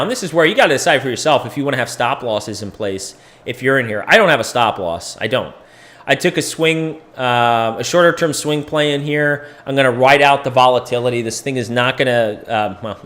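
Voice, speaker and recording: A man losing his voice, clearing his throat often, casually thinking aloud while he walks through crypto price charts as the host of a live chart stream. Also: medium pitch, a bit bright. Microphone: desk microphone.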